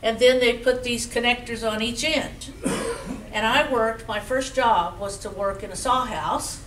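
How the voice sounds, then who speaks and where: An older woman in her seventies with a loud voice tells stories of her old job in a chatty, animated way. She is speaking into a handheld mic in a meeting room. The camera sits across the room, so her voice sounds distant and roomy, with a faint hiss underneath. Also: fairly high pitch, unhurried. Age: 70s. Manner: chatty, animated storyteller with gestures. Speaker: guest speaker. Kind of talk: recounting memories to an audience. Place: community museum meeting room, indoors. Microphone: handheld mic, far camera.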